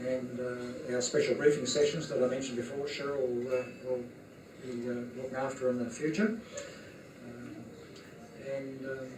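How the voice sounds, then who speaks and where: A man's voice: medium pitch, background noise. Hesitant man giving a chairman's speech. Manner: rambling, hesitant, many fillers. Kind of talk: speech at a cocktail party. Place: function room at an evening party. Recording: echoey room, far-off mic.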